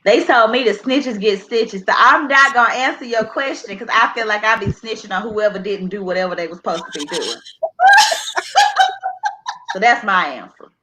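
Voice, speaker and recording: A woman's voice: mid-range voice, moderate pace, noisy background. Sassy Texan woman with a drawl. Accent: Texas. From Texas. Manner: sassy, playful, drawling slang. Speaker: guest. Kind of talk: casual chat.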